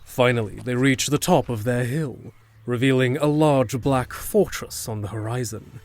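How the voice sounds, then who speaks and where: A man's voice: mid-range voice, unhurried, a little mellow. A man delivering scripted narration for a fantasy audio drama. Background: music.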